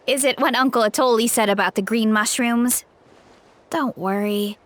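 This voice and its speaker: An actress playing a sweet, easygoing young orchard grower in a video game, speaking earnestly. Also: female voice, on the high side, unhurried, lightly acted. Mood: reassuring. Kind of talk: English video game voice acting.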